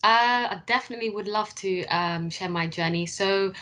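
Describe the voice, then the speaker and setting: A young woman speaks earnestly to students, sharing her path and encouraging them. Her voice is bright and clear, and her talk is full of small fillers. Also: mid-range voice, normal speed, a little hiss or hum. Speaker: council apprentice speaker.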